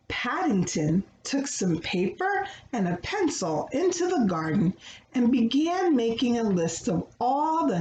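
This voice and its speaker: A woman reading a children's story aloud in a teacherly way, pausing to check in with her young listeners. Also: fairly high pitch, darkish tone, highs cut off. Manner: addresses young listeners with questions and pauses. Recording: roomy echo, faint background noise.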